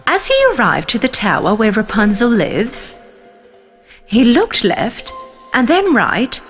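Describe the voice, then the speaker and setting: Lively, expressive woman storyteller. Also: on the high side, slow. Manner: expressive, sing-song storytelling. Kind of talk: scripted bedtime story narration. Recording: narration over music.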